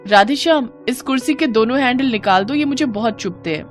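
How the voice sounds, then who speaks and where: A woman voicing a greedy, shameless, self-pleased female schoolteacher in an animated moral story, with a brazen, gleeful, drawn-out delivery. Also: on the high side, soft background music, pitched above the performer's own voice, lightly acted. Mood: demanding.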